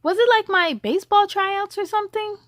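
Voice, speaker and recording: A woman's voice: very high-pitched, moderate pace, a bit bright, no room sound, studio quality, pitched above the performer's own voice. A woman voicing an eager, curious young boy in a children's bedtime storybook. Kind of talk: children's storybook read-aloud.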